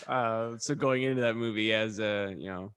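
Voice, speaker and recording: A man's voice: fairly low pitch, a bit bright, clean tone, dry recording. A man co-hosting a podcast, telling rambling anecdotes in a casual, comedic chat with a wry manner and ready laugh. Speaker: podcast co-host.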